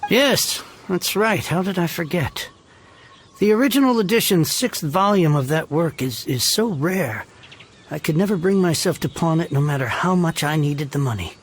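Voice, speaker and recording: An actor playing a grouchy, dramatic older book collector in a video game, husky and theatrical. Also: male voice, on the high side, animated, moderate pace. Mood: nostalgic, reverent. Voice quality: husky, creaky. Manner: theatrical, blustering, exasperated. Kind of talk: English video game voice acting.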